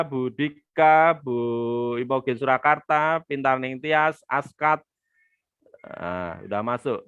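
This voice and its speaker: A man's voice: mid-range voice, a bit bright, smooth, no room sound, muffled recording. A man teaching online marketing on a live webinar, chatting with viewers and reading their comments aloud. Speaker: business trainer hosting a live webinar. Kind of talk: live-stream teaching with viewer shout-outs.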